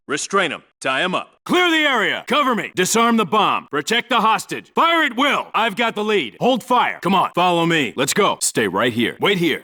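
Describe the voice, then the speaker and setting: A man's voice: high-pitched, pushed and bright, full character voice. A man voicing a tough, disciplined special forces squad leader in a military video game, clipped and authoritative. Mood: firm, commanding. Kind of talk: military video game voice lines, clipped radio reports and orders.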